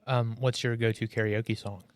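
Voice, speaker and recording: A man's voice: medium pitch, flat delivery, moderate pace, dry recording. A man hosting a casual podcast interview, laid-back, mostly brief replies and easy questions. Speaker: podcast host.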